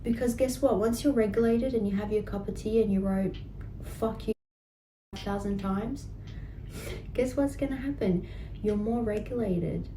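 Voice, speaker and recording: A woman's voice: medium pitch, moderate pace, mellow, roomy sound, slight background noise, rough recording. A woman leading a healing coaching session, encouraging and often checking in with "right?". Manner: encouraging, repeats "right?" and "guess what". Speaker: healing practitioner.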